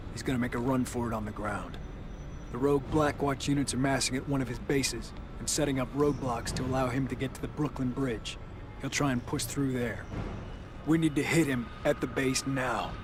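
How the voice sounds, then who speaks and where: A man playing a hard, decisive special forces captain with terse military delivery in a video game, music loud behind him. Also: mid-range voice, steady tone, moderate pace, noisy background, lightly acted. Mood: urgent briefing. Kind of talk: video game cutscene acting.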